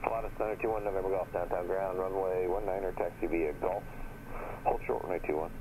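A male air traffic controller reading out clearances in rapid, clipped radio phraseology. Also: sits low, steady tone. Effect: aviation radio. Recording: noisy radio transmission.

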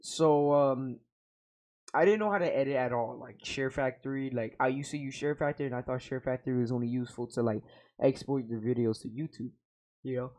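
A man's voice: mid-range voice, steady tone, brisk, darkish tone. A young man with a headset, reacting to his old gaming videos in rambling, self-mocking casual talk that swears freely. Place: small room at home. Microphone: over-ear headset mic.

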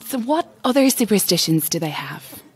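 An actress playing a curious, cheeky young Irish chorus girl who is easily spooked, with a breathy voice, in an audio drama. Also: mid-range voice, sing-song, moderate pace, a little mellow. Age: young adult.

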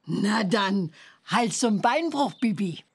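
A husky older witch aunt from a children's cartoon game. Her voice sits at a middle pitch and she instructs in a bossy, impatient way. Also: lively intonation, slow, heavily acted. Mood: bossy, instructing. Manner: stern, instructing.

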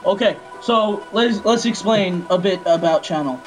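A man's voice: high voice, moderate pace, poor audio. A young man casually commentating a video game with friends, joking, swearing and reading lines aloud. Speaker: let's play host. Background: video game music.

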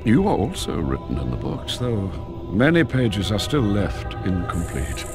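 An older man voicing an ancient, wise dragon keeper of books in a video game, speaking gravely and theatrically with drawn-out pauses. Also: on the low side, very animated. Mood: wistful, welcoming. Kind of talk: video game cutscene acting, solemn storytelling. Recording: loud music behind the voice. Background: loud cinematic game music.

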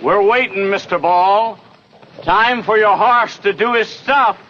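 A man's voice: high-pitched, steady tone, measured pace, bright, forceful tone. An actor playing a blunt, suspicious, self-righteous American townsman in a 1960s TV western. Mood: challenging. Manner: challenging, blunt. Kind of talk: 1960s television western acting. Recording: dull old TV soundtrack, faint noise.

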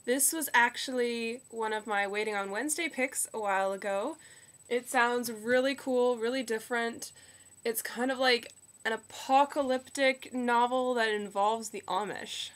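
A young woman with a slightly croaky voice from a cold, chatting upbeat about new books into a webcam in her bedroom. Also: a little high, fairly bright tone. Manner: chatty, upbeat, quick asides. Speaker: book vlogger. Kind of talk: casual book haul chat. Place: bedroom at home. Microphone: webcam at desk.

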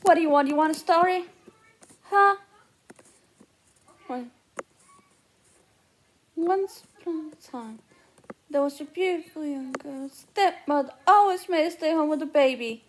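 Woman acting a high-pitched, petulant teenage girl. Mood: storytelling. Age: young adult. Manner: theatrical, declamatory, drawn-out. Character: dramatic, petulant, self-pitying teenage girl. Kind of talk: amateur film-scene reenactment. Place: indoor room at home. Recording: noisy handheld phone recording.

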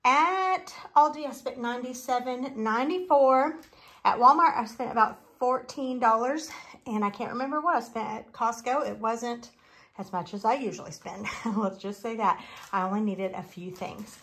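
A woman's voice: a little high, normal speed, fairly bright tone. A woman vlogger talking informally through a haul at home, with folksy, rambling asides. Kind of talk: chatty grocery haul narration. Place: home kitchen, indoors.

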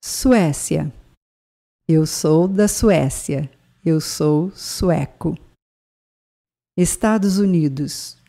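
A woman's voice: sits low, drawn-out words, creaky, pauses often, mellow. A woman narrating a scripted bilingual language lesson, speaking carefully and spelling out words. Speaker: language teacher.

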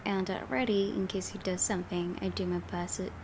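A woman's voice: medium pitch, steady tone, fairly quick, noisy background. A woman hosting a gaming video, reading story narration aloud dramatically with casual reactions. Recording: game music clearly behind. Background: game music.

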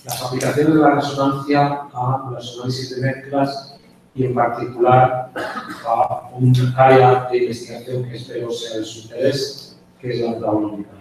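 Male lecturer, 60s, Castilian Spain accent, even delivery with pauses, very reverberant auditorium recording. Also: medium pitch. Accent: Spanish from Spain. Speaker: guest lecturer, chemist. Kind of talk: conference lecture. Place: university auditorium, indoors. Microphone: handheld mic, picked up by distant camera. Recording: echoey hall from far off, faint noise.

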